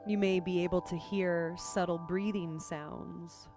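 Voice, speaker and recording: A woman leading a guided meditation as a teacher, speaking gently and unhurriedly with pauses between phrases. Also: fairly low pitch, steady tone. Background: music.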